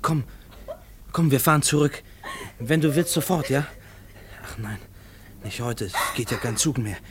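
A man playing an earnest, troubled railwayman husband in a 1950s radio play, speaking haltingly. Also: mid-range voice, natural voice. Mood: pleading, distressed. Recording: noisy old 1950s radio recording.

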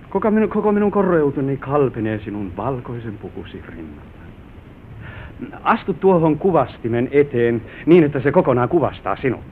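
An actor playing an affectionate, proud young newlywed husband in an old Finnish radio play, with a gallant, expressive stage delivery. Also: male voice, natural voice. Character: affectionate newlywed husband proudly showing his bride the family manor. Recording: narrow-band, hissy vintage radio recording with rumble.

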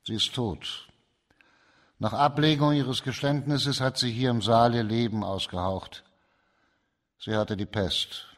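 A man acting as a shrewd, composed magistrate in a radio crime drama, laying out his case in measured, formal German radio-play style. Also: medium pitch, steady tone, natural voice. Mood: grave, quiet. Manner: measured, methodical, formal, explanatory.

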